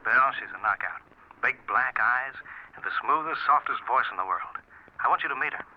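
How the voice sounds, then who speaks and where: An actor playing an eager, breezy newspaper reporter with slangy, excitable delivery in a 1940s radio drama. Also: male voice, mid-range voice, moderate pace, natural voice. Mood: eager, smitten. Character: eager, smitten male reporter sidekick. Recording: muffled 1940s radio recording.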